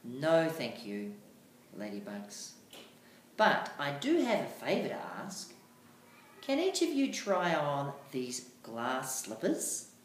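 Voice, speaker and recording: Teacher as male cicada prince, polite, firm, slow emphatic phrasing, storybook theatre, roomy sound, faint noise. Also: mid-range voice, wildly swooping pitch. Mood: courteous. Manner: measured, courteous, emphatic. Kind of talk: readers theatre storybook reading. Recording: echoey room, faint background noise.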